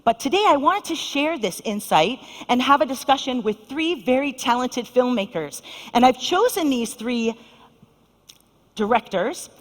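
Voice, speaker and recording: A woman's voice: high-pitched, lively intonation, measured pace. A middle-aged woman moderating a conference panel, speaking upbeat and directly to the audience. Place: conference hall stage. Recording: long reverb of a large hall.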